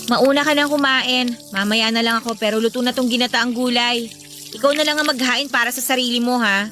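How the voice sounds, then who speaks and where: A woman's voice: a little high, fairly quick, pushed and bright, faint music behind. A woman playing a resentful, irritable young elder sister in a Filipino radio drama, with a Filipino accent and a blunt, exasperated delivery. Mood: curt, annoyed.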